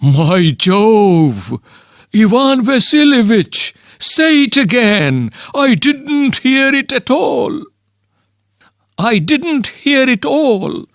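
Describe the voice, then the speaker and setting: Man voicing a gushing old landowner, slow, gravelly, swooping pitch. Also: big pitch swings, no room sound, clean studio sound, heavily acted. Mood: overjoyed. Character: fussy effusive old male landowner. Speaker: reader of a play part. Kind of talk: amateur play reading.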